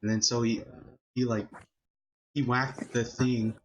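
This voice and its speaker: A man's voice: medium pitch, little pitch movement, a little slow, a little hiss or hum. A young man hosting a podcast, telling a rambling childhood story with many fillers and laughing as he goes. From Filipino family, California. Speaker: podcast host. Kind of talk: casual storytelling chat. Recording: dull lo-fi podcast audio.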